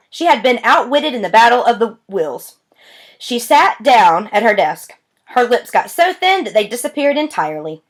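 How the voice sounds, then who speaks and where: Female reader, storybook read-aloud, expressive with lively pitch. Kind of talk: reading a children's novel aloud.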